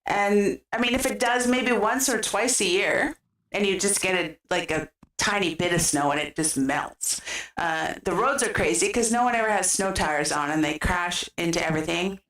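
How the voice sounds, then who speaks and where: A woman's voice: medium pitch, normal speed, fairly bright tone. Canadian lady streamer chatting away, giggly, west coast accent, echoey room, kinda far from the mic. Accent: western Canadian. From British Columbia, Canada. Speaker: gaming streamer. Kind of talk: casual livestream chat. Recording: roomy, distant microphone sound.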